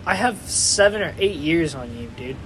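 Young man with a gritty higher voice. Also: lively intonation, moderate pace. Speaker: podcast co-host. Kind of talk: casual chat. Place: jazz band competition venue. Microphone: phone speaker. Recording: phone recording at a noisy competition.

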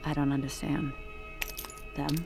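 A woman's voice: low voice, monotone, a little slow, gravelly, music in the background. An actress playing a determined, terse female investigator with a direct, insistent manner in an audio drama. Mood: accusing, resolute.

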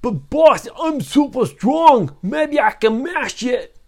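A woman's voice: sits high, big pitch swings, slow pace, voice pushed up, heavily acted. A woman voicing a dim, boastful pirate henchman who brags about his strength. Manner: dopey, shouty boasting.

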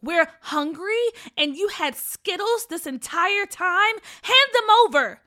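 A woman's voice: high-pitched, a little slow, pitched above the performer's own voice. A woman voicing a bossy, anxious young girl in a story, urgent and insistent as she speaks. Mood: outraged. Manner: insistent, urgent, repeats commands.

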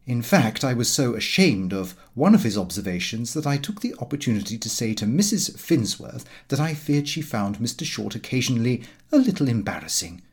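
A man reading a comic first-person diary aloud in an expressive, fussy storytelling manner. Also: medium pitch, brisk, few pauses, husky. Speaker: audiobook narrator.

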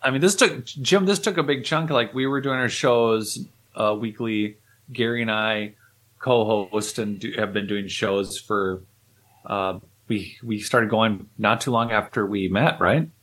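A man with a slightly low voice, talking in an easygoing, joking way. He co-hosts a podcast and keeps the chat moving with quick asides. His pitch jumps up when he gets animated. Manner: animated, jokey, chatty. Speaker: podcast co-host. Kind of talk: casual chat.

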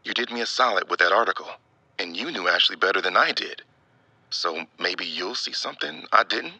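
A man's voice: low voice, animated, brisk, pushed and bright, no room sound, exaggerated voice. A young man playing an earnest, easygoing friend on a call in an audio drama, talking casually with slang. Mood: hopeful. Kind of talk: naturalistic audio drama acting.

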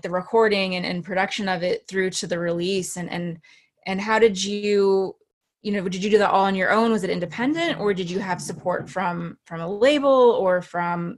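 A woman who hosts a podcast, raised in New Jersey, chatting casually and filling her talk with "you know". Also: mid-range voice. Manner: filler-heavy, lots of "you know". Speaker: podcast host.

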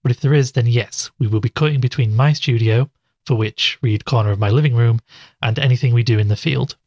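A man playing a podcast host who investigates mysteries, presenting in a measured, explanatory way in an audio drama. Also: mid-range voice, a little mellow, dry recording, dull audio, close to an everyday voice. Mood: relaxed, conversational.

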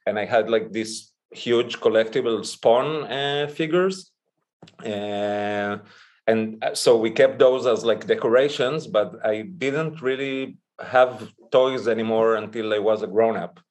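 A man's voice: fairly low pitch, measured pace, a little mellow. A man in his thirties from Israel and Chile, chatting informally as an interview guest and telling personal stories. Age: 30s. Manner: informal, mild swearing. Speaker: podcast guest, toy artist. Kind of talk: casual interview chat, personal storytelling.

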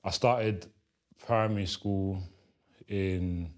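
A young man from Congo, telling his life story as an interview guest in a slow, reflective, low-key way with pauses. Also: fairly even intonation.

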